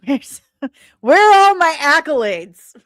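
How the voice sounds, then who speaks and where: A woman's voice: high voice, big pitch swings, slow, a bit bright, no room sound. A woman with a playful, theatrical manner, hosting a livestream and reading aloud from her comic script. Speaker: host and comic author.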